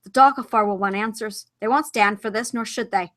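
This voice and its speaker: A woman's voice: fairly high pitch, fairly quick, a bit bright, lightly acted. A woman voicing a grim, weary young warrior lord, reading his lines with a light accent. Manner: stern, with a put-on accent.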